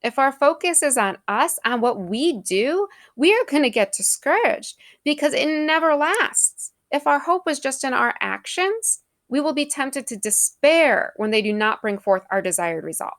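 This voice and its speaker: A woman's voice: high voice, expressive, normal speed, few pauses, a bit bright, dry recording, studio quality. A young adult woman giving a faith reflection in an earnest, conversational, thoughtful way. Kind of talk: devotional talk.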